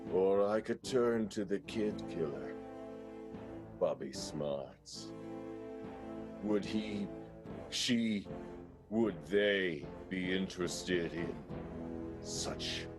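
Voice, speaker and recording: A man's voice: deep voice, very slow, long pauses, music in the background. A man playing a jilted, scheming accountant in an improvised radio soap, speaking in a florid, theatrical way.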